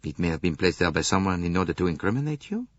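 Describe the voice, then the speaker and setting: A man voicing a shrewd, courteous detective, measured and probing, in an audiobook. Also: sits low, big pitch swings, no echo, muffled recording. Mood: courteous, skeptical. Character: shrewd Belgian male detective, polite, probing, unruffled.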